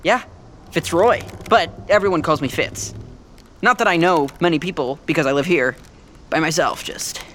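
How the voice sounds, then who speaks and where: A man's voice: on the high side, normal speed, a bit bright, slight background noise, natural voice. A man playing a nervous, chatty young American bluffing as a thief in a fiction podcast, rambling and stammering as he tries to please. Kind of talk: fiction podcast acting, casual modern speech.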